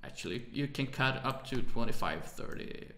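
Hesitant man, gravelly voice. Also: medium pitch, expressive, normal speed, sharp tone, roomy sound. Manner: hesitant, many "uh" fillers, apologetic about his English. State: tired, late at night. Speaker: music production tutor. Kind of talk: screen-recorded software tutorial.

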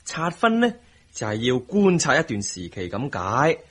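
Husky, playful young Hong Kong husband. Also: male voice, mid-range voice, wildly swooping pitch, measured pace, a bit bright, full character voice. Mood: playful, defensive. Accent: Hong Kong Cantonese. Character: playful, doting young husband, rich family's son. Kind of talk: Hong Kong radio drama acting.

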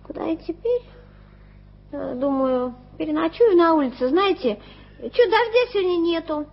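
An actress playing a stubborn, streetwise street girl in a radio play, talking bluntly and roughly. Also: very high voice, lively intonation, normal speed. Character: street girl Tommy, scrappy stubborn waif. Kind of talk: 1980s studio radio play acting. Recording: dull old radio recording.

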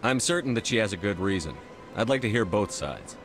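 An actor playing a calm soldier hero in his thirties in a video game, mediating in a direct, diplomatic way. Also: lowish voice, brisk, soft background music. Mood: calm inquiry. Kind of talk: video game dialogue acting.

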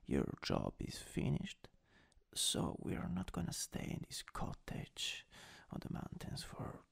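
A man acts out a soothing country-boy lover with an Italian accent for ASMR. The voice is a husky, crackling whisper that moves slowly and hesitantly. He murmurs intimately, with teasing tenderness. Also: medium pitch, wildly swooping pitch, a bit bright, heavily acted. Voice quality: breathy, raspy whisper. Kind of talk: whispered ASMR improvised roleplay.

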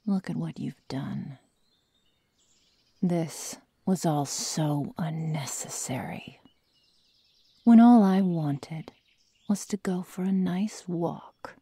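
A woman playing a sadistic young werewolf girl in an ASMR roleplay, coldly teasing and menacing in soft, breathy, often whispered speech close to the microphone. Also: sits low, no room sound, full character voice. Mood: mocking, reproachful. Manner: slow, soft, mock-tender menace. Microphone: close microphone, dry sound.

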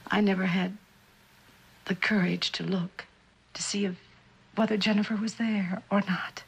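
An actress playing a middle-aged, genteel, grieving widow in a mid-century suspense drama, speaking haltingly and gravely in a husky, breathy voice. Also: female voice, medium pitch, fairly even intonation, exaggerated voice, poor audio. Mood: haunted, halting. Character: haunted, grieving widowed mother, genteel and fearful.

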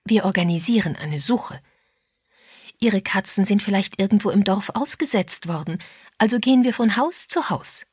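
A woman's voice: medium pitch, animated, moderate pace, husky, dry recording, clean studio sound, voice pushed up. A woman voicing a kindly village woman, reassuring and practical, in an audiobook.

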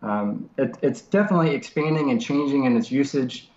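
A man's voice: medium pitch, lively intonation, a little fast, darkish tone, lo-fi sound. Nasal man on a roomy video call. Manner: rambling, many restarts and fillers. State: nasal from a cold. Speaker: software developer guest. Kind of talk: casual software walkthrough. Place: home study.

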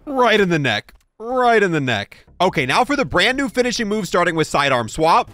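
A man's voice: sits high, very animated, moderate pace, bright, forceful tone, slight background noise. A man giving casual, chatty, opinionated video game commentary, repeating himself for emphasis. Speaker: gaming YouTuber reviewing game content.

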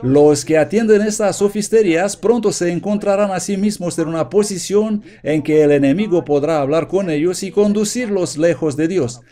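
Earnest man preaching in a mellow voice. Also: on the high side. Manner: earnest, emphatic, reading quotations aloud. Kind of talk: sermon read from notes, Spanish voice-over.

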